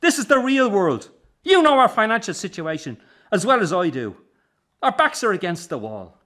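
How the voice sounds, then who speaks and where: An actor playing a driven, frustrated middle-aged son with an Irish accent, in a naturalistic radio drama. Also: male voice, high voice, very animated, normal speed, pushed and bright, heavily acted. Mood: frustrated, forceful. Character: driven, frustrated son running the struggling family circus.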